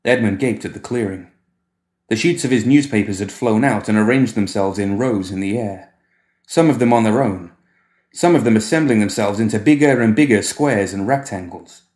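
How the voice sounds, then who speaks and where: Low-voiced man narrating, roomy sound. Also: normal speed, a little mellow. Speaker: story reader. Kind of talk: scripted fiction narration.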